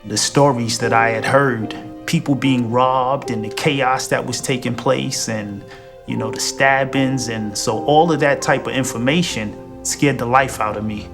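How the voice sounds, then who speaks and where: A man's voice: mid-range voice, steady tone, a little slow, vocal fry, music in the background. A man who served time, speaking candidly and reflectively in a documentary interview. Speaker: former inmate interviewee.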